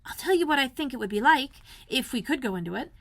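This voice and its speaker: A woman voicing an eager, bossy young girl full of wonder in a children's storybook, speaking with animated emphasis. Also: high voice, fast talker, pitched above the performer's own voice. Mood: dreamy.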